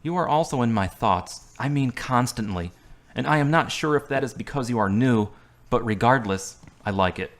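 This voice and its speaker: A man playing a wounded, guarded young man who speaks in a flat, terse way. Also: medium pitch, moderate pace, close to an everyday voice.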